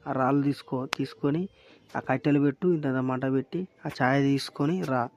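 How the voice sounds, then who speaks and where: A man's voice: mid-range voice, fairly even intonation, a little fast, lightly acted. A man playing a bossy, foul-mouthed village father in an amateur Telugu cartoon comedy, scolding in a Telangana accent. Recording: clearly noisy track with clicks.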